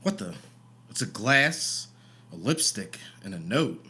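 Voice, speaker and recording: A man acting a gruff, cynical, world-weary city detective in a radio play, with a wry manner. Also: lowish voice, big pitch swings, slow pace, sharp tone, slight background noise, exaggerated voice.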